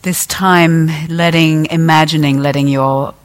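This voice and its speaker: A woman teacher leading a guided meditation in a gentle, deliberate way, leaving long pauses between phrases. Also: low-pitched, steady tone.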